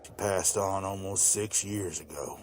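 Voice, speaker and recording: Actor as a deep-voiced older farmer, plainspoken. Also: male voice, fairly even intonation, a little slow, a little hiss or hum. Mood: calm, hospitable. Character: weary older widowed male farmer, former vet, plainspoken. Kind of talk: voice acting for a motion comic.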